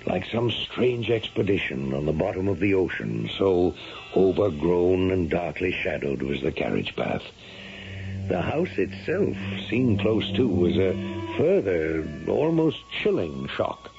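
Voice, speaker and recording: An actor playing a solemn gentleman narrator, telling an ominous tale in dramatic radio style. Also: low-pitched, sing-song, unhurried, creaky, faint music behind, exaggerated voice. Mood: grave, ominous. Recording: lo-fi noisy vintage radio recording.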